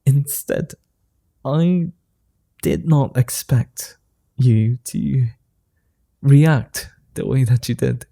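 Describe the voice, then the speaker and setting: A man voicing a gentlemanly male vampire in an ASMR roleplay, speaking in formal, old-fashioned phrases and chuckling softly. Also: medium pitch, very slow, creaky, pauses often, no echo, studio quality, full character voice. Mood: amused.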